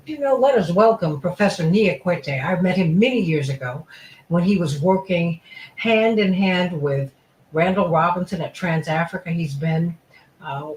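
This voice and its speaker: A woman's voice: lowish voice, echoey room, slight background noise, poor audio. A woman hosting a radio talk show, introducing guests effusively with frequent fillers.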